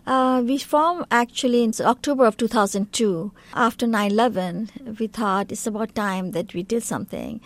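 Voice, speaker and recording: A woman's voice: a little high, normal speed, hardly any pauses, dry recording. A woman from Bangladesh with a Bangladeshi accent, a guest on a talk show, speaking earnestly and at length with loose grammar and many fillers. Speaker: guest, community council vice president. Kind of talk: interview.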